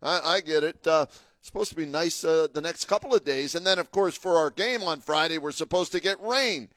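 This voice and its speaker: A man's voice: a little high, a little fast, a bit bright, dry recording. A man co-hosting a sports talk radio show, talking casually and getting emphatic as he argues a point. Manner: chatty, emphatic, gets fired up arguing.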